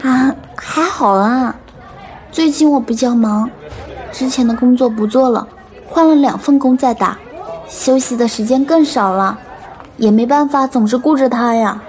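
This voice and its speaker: A woman's voice: on the high side, measured pace, darkish tone, natural voice. A woman acting an overworked young waitress and caring older sister in a radio drama. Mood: polite, hurried.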